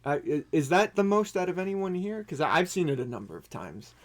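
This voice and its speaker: A man's voice: fairly high pitch, sing-song, brisk. A man hosting a casual, jokey film chat with rambling, sweary delivery. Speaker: podcast host.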